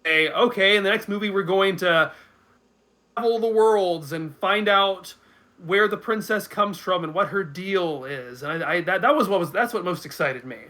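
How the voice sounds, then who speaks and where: A man's voice: high-pitched, moderate pace, bright, forceful tone. A man speaking as a guest commentator in a casual unscripted discussion, thinking aloud with hesitant starts.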